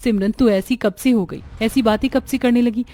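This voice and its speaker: A woman voicing a kindly young married friend in a cartoon story, gently admonishing as she talks. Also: fairly high pitch, normal speed, lightly acted. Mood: concerned. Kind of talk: Hindi cartoon story dialogue. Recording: music behind the voice.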